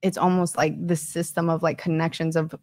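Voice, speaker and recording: Low-pitched young American woman, casual storyteller. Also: flat delivery, a little fast, darkish tone, no room sound. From born in Ecuador, raised in North Carolina from age four. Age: young adult. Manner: informal, frequent "like". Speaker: podcast guest, painter and storyteller. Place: small podcast studio. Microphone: close studio microphone on boom arm.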